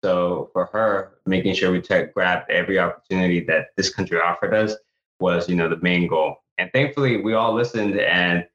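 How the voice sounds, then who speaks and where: A man's voice: deep voice, normal speed, fairly bright tone. A man from El Salvador, a guest actor and author, telling his story earnestly in an interview, with frequent restarts. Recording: echoey, slightly dull remote audio.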